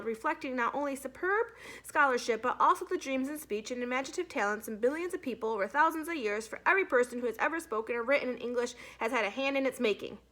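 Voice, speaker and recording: A woman's voice: sits high, pushed and bright, pitched above the performer's own voice. A woman voicing a cheeky, earnest schoolboy in a storybook, speaking with showy keenness. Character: schoolboy Nick, cheeky and earnest, stalling for time.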